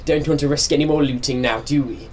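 An actor playing a timid, devoted and inept posh British brother in a radio comedy, hesitant and polite. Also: male voice, mid-range voice, fairly even intonation, fast, noisy background, natural voice. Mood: meek, agreeable.

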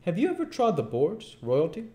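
A man's voice: high-pitched, wildly swooping pitch, normal speed, warm tone, voice pushed up. A man voicing a grandiose, persuasive con-man duke in a storybook, pompous and declamatory. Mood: grand, coaxing. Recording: echoey room.

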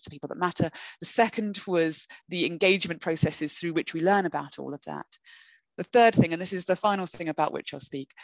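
A woman academic giving a recorded webinar lecture over a video call, measured and explanatory, with occasional "um"s and rephrasings. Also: lowish voice, dry recording, low-quality recording. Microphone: computer webcam mic on a video call.

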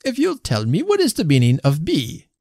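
A man voicing a smirking, patronizing, excitable little man in a storybook reading. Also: a little high, normal speed, no echo, clean studio sound, pitched above the performer's own voice, heavily acted. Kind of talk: storybook character voice.

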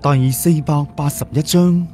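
A man's voice: a little high, fairly even intonation, unhurried, dark tone, faint music behind. A man narrating a serialized story in a scripted storytelling style, with colloquial asides. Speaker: audiobook narrator.

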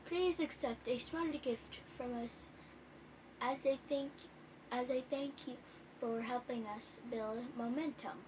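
Young girl gaming vlogger, high voice, noisy phone recording in a dim bedroom. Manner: chatty, reads game letters aloud haltingly. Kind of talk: casual gameplay chat.

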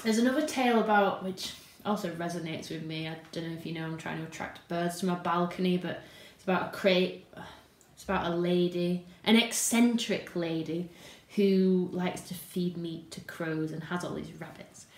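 A woman's voice: lowish voice, normal speed. British woman chatting in a roomy space. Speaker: presenter. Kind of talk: casual chat to camera. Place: indoor room at home. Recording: roomy home sound.

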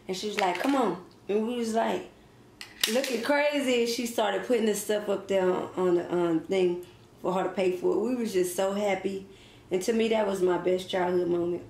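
A woman's voice: medium pitch, creaky, darkish tone. A woman in her thirties chatting casually while she eats, laughing often and talking between bites. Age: 30s. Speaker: mukbang vlogger. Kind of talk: casual Q and A chat while eating. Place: home kitchen, indoors. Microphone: fixed camera across a table. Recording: echoey kitchen with faint ambient noise.

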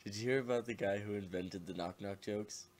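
A man's voice: lowish voice, a little fast, a little mellow, close to an everyday voice. A man voicing a wry, weary, half-dead skeleton with a laid-back joking manner in a comic dub. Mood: amused, teasing. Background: music.

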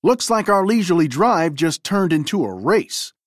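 A woman's voice: on the low side, expressive, moderate pace, no echo, clean studio sound, natural voice. A woman voicing a cocky, friendly young male race car in a playful storybook reading. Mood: confident.

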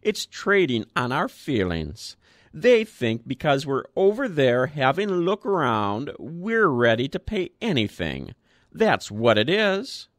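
Casting: man playing a frail old gent, thin piping quaver, high and rambling, storybook reading. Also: wildly swooping pitch, slow pace, dry recording, clean studio sound, full character voice. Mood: indignant. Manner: rambling, chatty.

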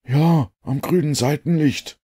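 A man voicing an eager, proud male police inspector in an audiobook, loud and emphatic. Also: fairly high pitch, a little slow, husky, pitched above the performer's own voice.

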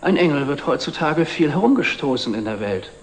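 A middle-aged actor playing a wry, wistful antique dealer, formal and dry, in a 1960s TV drama. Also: male voice, fairly high pitch, sing-song, brisk. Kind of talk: 1960s German television drama acting. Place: indoor antique shop set. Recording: noisy old TV film soundtrack.

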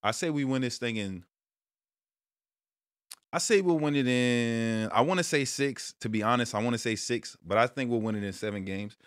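A man in his thirties from Brooklyn, hosting a casual sports talk stream from a small room at home, switching between loud hype and low muttered asides. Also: normal speed, no room sound, clean studio sound. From Brooklyn, New York. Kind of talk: casual monologue to viewers.

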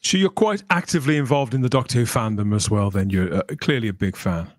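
A man's voice: medium pitch, fast, no echo. A middle-aged man chatting enthusiastically and jokily as a podcast host, close to the microphone. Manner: enthusiastic, jokey, wordy. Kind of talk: chatty fan podcast talk. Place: small room at home, on a video call.

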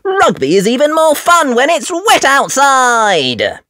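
A woman's voice: high-pitched, huge pitch swings, slow pace, pushed and bright, no room sound, studio quality, pitched above the performer's own voice, heavily acted. A woman voicing a kindly, encouraging mother rabbit in a children's storybook.

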